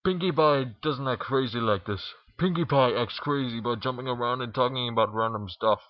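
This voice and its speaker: A man's voice: mid-range voice, normal speed, no echo, muffled recording. A man reading a story aloud in an informal amateur narration, stumbling now and then. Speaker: fan fiction reader.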